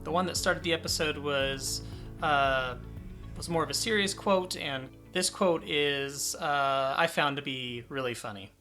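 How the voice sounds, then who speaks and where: A man's voice: fairly high pitch, unhurried, bright, forceful tone, soft background music. A man in his thirties hosting a conversational interview podcast and introducing his guest. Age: 30s. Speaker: podcast host.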